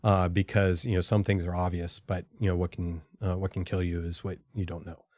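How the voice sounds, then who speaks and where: Low-voiced man, careful and halting. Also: little pitch movement, darkish tone, no echo, clean studio sound. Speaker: podcast guest, former intelligence officer. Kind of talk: reflective interview answers.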